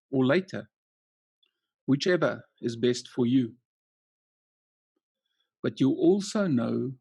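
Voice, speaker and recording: A man leading a guided hypnotic relaxation as a coaching trainer, soothing and measured, leaving long pauses between short phrases. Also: mid-range voice, gravelly, a little mellow, slightly rough, no echo.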